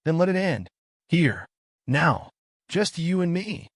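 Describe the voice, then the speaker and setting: A man's voice: a little high, sing-song, slow, no room sound, clean studio sound, voice pushed up, exaggerated voice. A man voicing a fierce, threatening warrior father in a folktale, commanding and challenging.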